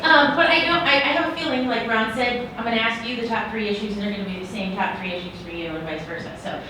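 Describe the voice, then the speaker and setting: A woman's voice: on the high side, quick pace, background noise. A woman moderating a conference panel in a chatty, joking, self-deprecating way. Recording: echoey hall, far from the mic.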